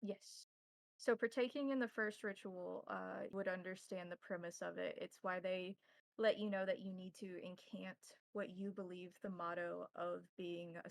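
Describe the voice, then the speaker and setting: Woman acting a courteous vampire ritual keeper, formal measured phrasing, creaky voice, dry recording, tabletop game. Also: on the low side, no echo, rough recording.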